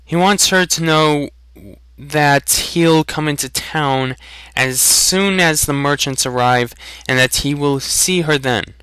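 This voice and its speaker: A man's voice: a little high, takes it slowly, pushed and bright, smooth, no echo, pitched above the performer's own voice, poor audio. A man voicing a polite, eager and earnest young farm lad in a fantasy audiobook. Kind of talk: dramatic fantasy audiobook reading.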